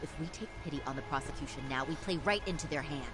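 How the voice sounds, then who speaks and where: A woman's voice: deep voice, quick pace, over music, close to an everyday voice. An actress playing a resolute woman lawyer in a crime drama game, formal and precise in her delivery. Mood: indignant, resolute. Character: composed, steely woman lawyer with quiet indignation. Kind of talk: dramatic English game voice acting.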